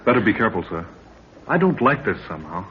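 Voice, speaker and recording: An actor playing a loyal, wary young expedition companion, deferential and earnest, in a 1930s radio adventure serial. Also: male voice, lowish voice, sing-song, quick pace, crowd noise. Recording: very noisy, muffled old radio recording.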